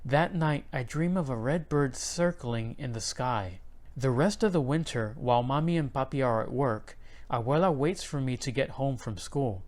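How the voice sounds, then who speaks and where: A man reading a children's picture book aloud, in clear and expressive storybook narration. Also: medium pitch, normal speed.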